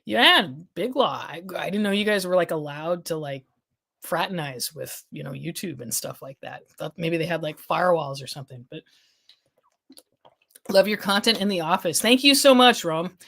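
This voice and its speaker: A woman with a slightly low voice hosts a casual legal news livestream. She rambles and restarts her sentences while she reads viewer comments. Her voice is getting hoarse as the stream goes on. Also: expressive, normal speed, a bit bright. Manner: rambling, many restarts and fillers, thinking aloud. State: losing her voice. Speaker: legal affairs journalist hosting a livestream.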